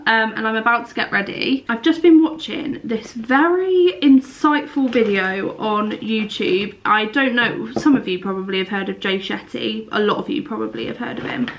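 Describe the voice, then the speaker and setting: A woman's voice: a little high, fairly bright tone. A young woman chatting casually to camera at home for her vlog, sounding congested and sniffly from a cold. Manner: chatty, rambling. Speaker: lifestyle vlogger.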